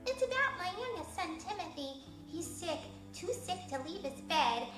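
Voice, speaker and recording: A woman's voice: very high-pitched, big pitch swings, normal speed, voice pushed up. A woman voicing a timid, anxious mother mouse in a storybook reading. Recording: echoey room, faint music.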